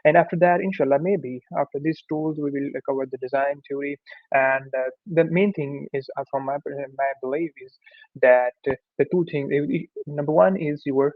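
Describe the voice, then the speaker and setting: A man's voice: on the high side, fairly even intonation, normal speed, no room sound. Hesitant non-native man, tinny sound. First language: not English. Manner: hesitant, many fillers and restarts. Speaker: tutorial host. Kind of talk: unscripted course introduction with screen share.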